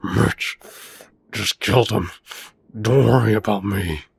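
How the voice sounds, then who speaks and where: A man plays a selfless hostage in an audio drama. He sounds strained, husky and extremely gravelly, speaking slowly. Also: fairly low pitch, heavily acted. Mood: strained, resolute. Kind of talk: amateur audio roleplay drama.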